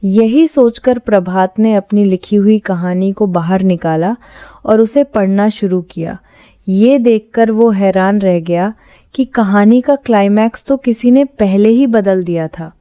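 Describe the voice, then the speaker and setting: Woman reading out a scary story, soft mellow voice, really dry recording. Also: medium pitch, unhurried, no room sound. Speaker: narrator. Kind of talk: scripted horror story narration.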